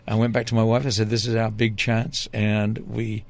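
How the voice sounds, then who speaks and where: An older man with a low, gravelly voice tells long stories at an easy pace. He wanders through his memories, stopping and restarting often, filling the gaps with "uh" and "um". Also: completely flat delivery, normal speed. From England. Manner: rambling, hesitant, with many fillers and restarts. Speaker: interview guest, former TV reporter. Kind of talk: relaxed storytelling in an interview.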